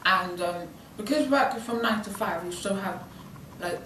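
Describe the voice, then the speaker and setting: A woman's voice: medium pitch, moderate pace, a bit bright. A nineteen-year-old British woman whose first language is British Sign Language gives informal interview answers, hesitant and rambling with many ums and likes. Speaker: student intern giving an interview. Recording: echoey room, distant mic, background noise.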